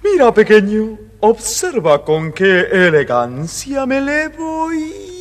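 A show-off drake, high and pompous, with huge swoops of pitch. The storybook recording has long reverb. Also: male voice, wildly swooping pitch, slow, heavily acted. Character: vain, show-off male wild duck. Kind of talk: audio storybook character acting. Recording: reverberant, faintly noisy.

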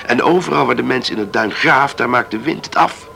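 Earnest farmer for radio drama, low and heavily creaky, sober delivery, faint background noise. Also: male voice, a little fast, bright, forceful tone. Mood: grave, regretful. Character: earnest, plain island farmer, husband, concerned. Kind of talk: Dutch radio play acting.

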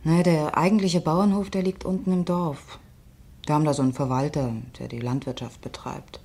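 An actress playing a prickly, sardonic young farm heiress with a curt, offhand manner in a radio crime drama. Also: female voice, low-pitched, fairly even intonation, moderate pace, dark tone, slight background noise. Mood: matter-of-fact.

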